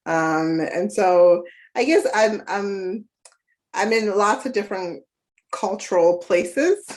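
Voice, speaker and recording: A woman's voice: medium pitch, slow pace. A woman from Ghana, a therapist, talking casually as a podcast guest and often adding "right?" along with fillers. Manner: talkative, often adds "right?" and trails off with fillers. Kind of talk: casual conversational interview.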